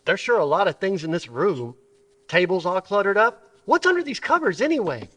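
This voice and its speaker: A man's voice: sits high, big pitch swings, fairly quick, fairly bright tone, full character voice. A man playing a grumbling, clumsy ageing sidekick in an old-time radio adventure, with a folksy, clipped delivery. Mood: curious, puzzled. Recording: live stage recording with background noise.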